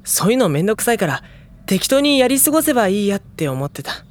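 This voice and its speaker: An actor playing a gentle, earnest high school boy who speaks and narrates sincerely in an audio drama. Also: sing-song, fast talker. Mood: earnest, warm.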